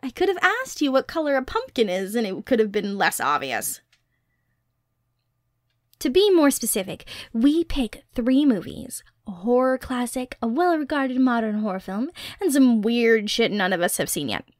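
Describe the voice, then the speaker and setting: A woman's voice: a little high, very animated, moderate pace, a little mellow, dry recording, clean studio sound. A woman playing a dorky, affectionate young girlfriend in a casual ASMR roleplay, chatty and teasing as she rambles. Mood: playful, excited. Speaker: roleplay voice actor.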